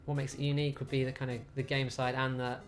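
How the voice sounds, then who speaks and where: A man who owns a games cafe talking about his business in a relaxed, rambling way with many fillers. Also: mid-range voice, quick pace, faint music behind.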